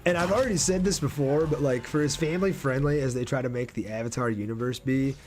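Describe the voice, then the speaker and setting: A man's voice: medium pitch, fast talker, a little mellow, soft background music. A man doing casual live gameplay commentary and chatting with viewers in a laid-back, slangy way. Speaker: game streamer.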